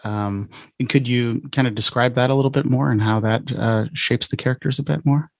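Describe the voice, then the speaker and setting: Gravelly-voiced male podcast host. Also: medium pitch, fairly even intonation, moderate pace, no echo, clean studio sound. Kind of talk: casual interview questions.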